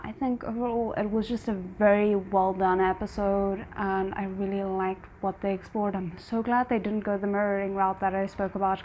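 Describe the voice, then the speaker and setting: A woman's voice: mid-range voice, darkish tone, slight background noise, low-quality recording. A woman hosting a reaction video, talking casually about a show she just watched, thinking aloud with fillers and restarts.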